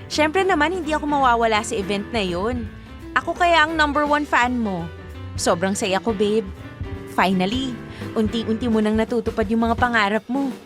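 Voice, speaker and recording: A woman playing a devoted, expressive young girlfriend in a Filipino radio drama. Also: a little high, moderate pace, music in the background, close to an everyday voice. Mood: delighted, proud. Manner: expressive, affectionate. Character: devoted, cheerful young girlfriend named Monet.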